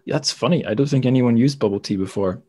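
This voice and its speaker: A man's voice: mid-range voice, fast, mellow, husky. A man hosting a podcast in a casual, chatty interview style. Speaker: podcast host.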